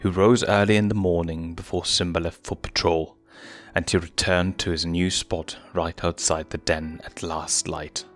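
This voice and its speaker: Low-voiced man narrating a story over music. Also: level tone, moderate pace. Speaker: fan fiction reader.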